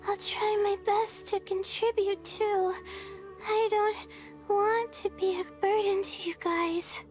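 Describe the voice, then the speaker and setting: A timid, sleepy young girl in a video game. Her voice is very high and soft, and she speaks slowly, politely and with hesitation. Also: steady tone, soft background music. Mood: shy, grateful. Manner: hesitant, soft-spoken, drawn-out delivery.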